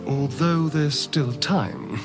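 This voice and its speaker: An actor playing a charming, sardonic, flamboyant vampire spawn in a video game, with a silky, teasing, theatrical delivery. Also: male voice, a little high, takes it slowly, music in the background. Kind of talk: video game character acting.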